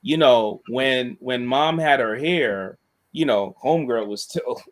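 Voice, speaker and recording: Man, monotone voice, casual rambling storyteller, many restarts. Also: mid-range voice, slow pace. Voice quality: monotone voice, self-described. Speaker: co-host and school staff member.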